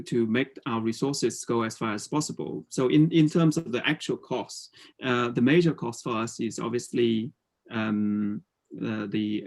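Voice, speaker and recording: A man's voice: medium pitch, a little mellow. A man in his forties from Vietnam with a Vietnamese accent, presenting his startup on a video call, hesitant with many fillers and restarts. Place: home room with slanted ceiling.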